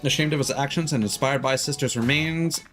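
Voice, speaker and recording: A man's voice: medium pitch, a little fast, fairly bright tone. A man streaming a game, reading its text aloud and adding informal, wry commentary. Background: game music.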